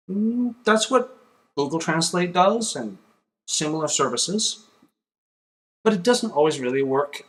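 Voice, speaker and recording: A man's voice: a little high, rough recording. A man in his thirties from Denmark with a Danish accent, explaining a topic casually to camera in a home office. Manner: relaxed, conversational, with fillers and pauses. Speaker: video host. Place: small home office with a computer. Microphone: webcam or desk camera, close framing.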